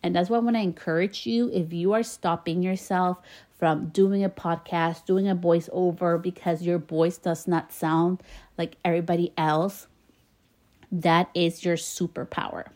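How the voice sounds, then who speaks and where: A woman's voice: fairly low pitch, fairly even intonation, unhurried, a little mellow. A woman podcast host with a slight Spanish-influenced accent, talking casually and encouragingly to her listeners, laughing now and then between phrases. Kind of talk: casual motivational monologue.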